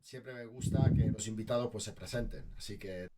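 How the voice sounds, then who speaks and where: A man's voice: fairly low pitch, normal speed, heavy background noise. A man hosting an interview show, asking questions in a conversational way.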